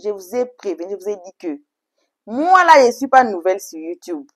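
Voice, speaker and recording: A woman's voice: mid-range voice, sing-song, normal speed. Emphatic anglophone woman hosting a channel. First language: English. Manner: emphatic, repetitive, addresses viewers directly. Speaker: YouTube channel host. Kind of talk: casual, chatty introduction to story videos.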